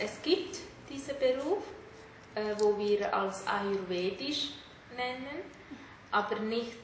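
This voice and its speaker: A middle-aged woman with a Sri Lankan accent, a native Tamil speaker, giving hesitant interview answers in simple phrasing. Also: medium pitch. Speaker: interviewee, therapist. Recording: echoey room, clear background noise.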